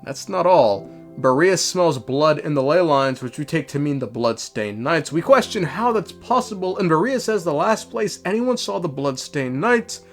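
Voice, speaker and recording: Guy recapping a game story, super animated, sardonic and sweary, faint music under him. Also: on the high side, moderate pace. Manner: irreverent, sweary, sardonic asides. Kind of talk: casual commentary recap.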